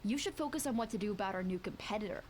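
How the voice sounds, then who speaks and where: A woman's voice: mid-range voice, animated, very fast, a little mellow, slight background noise, natural voice. A woman voicing a lazy, sardonic young lady spirit in a video game, with a dry, snide manner. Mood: critical.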